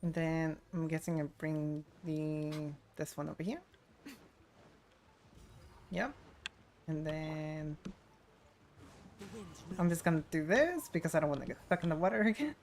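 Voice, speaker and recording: Low, creaky-voiced woman streaming a game. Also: slow pace, long pauses. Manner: playful self-talk, short reactions. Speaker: game streamer playing through a story quest. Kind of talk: casual livestream commentary.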